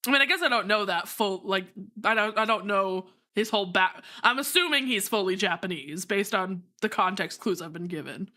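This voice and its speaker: A woman's voice: mid-range voice, animated, normal speed, pushed and bright. A young woman streamer chatting and laughing through a story game, poking fun at her own voice work. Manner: giggly, chatty, self-mocking. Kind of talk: casual gameplay commentary.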